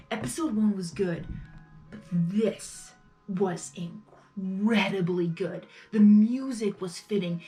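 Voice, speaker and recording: A woman's voice: mid-range voice, warm tone. Effusive, rambling woman reacting casually. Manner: effusive, rambling, repeats words and phrases. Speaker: reaction video host. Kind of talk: casual live reaction commentary.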